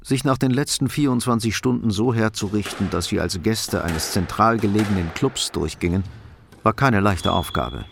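Low, creaky male crime narrator. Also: normal speed, a little hiss or hum. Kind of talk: scripted narration of a crime drama. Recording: music under the voice.

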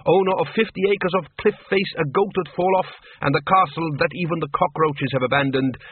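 A man's voice: on the high side, phone-quality sound, slight background noise, voice pushed up, lightly acted. A man voicing a grumbling male vampire, a former greengrocer, sardonic, blunt and rambling, in an audiobook story.

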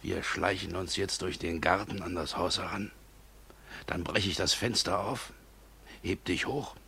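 An actor playing a brutal, impatient burglar who gives gruff, threatening orders in a radio drama. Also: male voice, low-pitched, unhurried, bright, forceful tone, full character voice. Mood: gruff, commanding. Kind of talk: 1950s German radio drama acting. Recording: 1950s radio recording with faint hiss.

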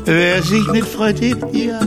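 An actor playing a tired old Santa Claus who dreams of retirement, with a sighing, rhyming manner, in a children's radio play with songs. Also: male voice, high-pitched, slow pace, loud music behind the voice. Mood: dreamy, longing. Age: older.

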